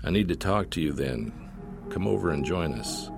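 A man's voice: extremely low pitch, animated, normal speed, creaky, music in the background, heavily acted. A man voicing a young, mournful small-town detective who questions in a clipped, matter-of-fact way in a story. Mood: businesslike questioning.

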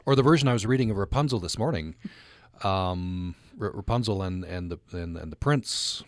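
A man hosting a conversational radio interview, speaking haltingly and repeating words as he forms his thoughts. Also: low voice, lively intonation, dry recording. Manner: halting, repeats words while forming questions.

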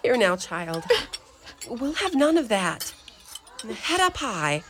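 Woman playing a brisk, motherly mother superior. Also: fairly high pitch, big pitch swings, fairly bright tone, a little hiss or hum, exaggerated voice. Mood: encouraging. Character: elderly mother superior, woman, stern yet tender. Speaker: community radio play actor. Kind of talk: community radio play acting.

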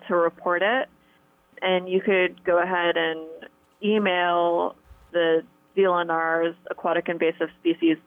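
A female biologist speaks carefully and patiently, pausing now and then as she explains. Her voice comes through a tinny phone line. The sound is dry, with no room around it. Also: fairly low pitch, gravelly, no room sound. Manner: explanatory, careful, slightly hesitant. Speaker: state biologist. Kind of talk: interview answers.

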